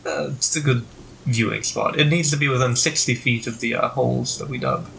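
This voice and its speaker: A man playing a tabletop game, talking in character in a measured, polite, slightly hesitant way. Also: mid-range voice, steady tone, vocal fry, a bit bright, a little hiss or hum, low-quality recording. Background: background music.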